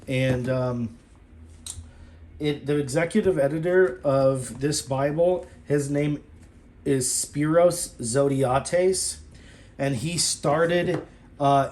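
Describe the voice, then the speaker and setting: A man's voice: mid-range voice, a little mellow. A man casually reviewing a study Bible to camera, rambling with fillers and restarts.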